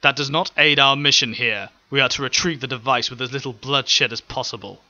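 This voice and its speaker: A man's voice: mid-range voice, steady tone, a little fast, sharp tone, muffled recording. A man voicing a winged, horned alien general who speaks sternly and firmly. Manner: stern, firm.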